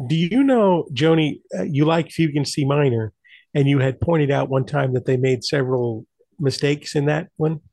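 A man's voice: on the high side, normal speed, creaky, a little mellow, dry recording. A man chatting casually as the presenter of a group evening about old radio shows. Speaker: presenter of an online old-time radio night.

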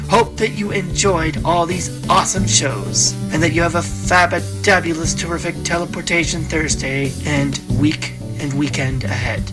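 A man's voice: a little high, poor audio. A man presenting a radio show in a casual, playful, effusive way. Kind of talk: casual live show hosting.

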